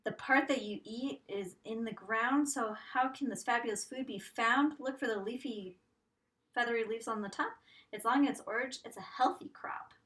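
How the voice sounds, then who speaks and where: A woman with a fairly high voice reads aloud like a teacher to young pupils. Her delivery is expressive and sing-song, with questions for the children. She sounds a little distant from the mic, with faint noise behind her. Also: moderate pace. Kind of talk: picture-book read-aloud with guessing questions.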